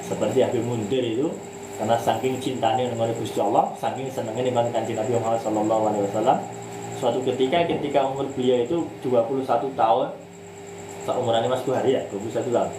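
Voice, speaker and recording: A man's voice: mid-range voice, fairly even intonation, fast, roomy sound, noisy background. A man leading a religious book study, mixing in words and posing rhetorical questions. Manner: mixes in Javanese words, asks himself rhetorical questions; restarts words and draws out key phrases.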